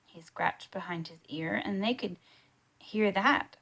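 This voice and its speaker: A woman reads a children's story aloud. Her pace is slow and deliberate, her pitch sits in the middle, and she narrates expressively. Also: vocal fry, a bit bright, slightly rough. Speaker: storybook reader.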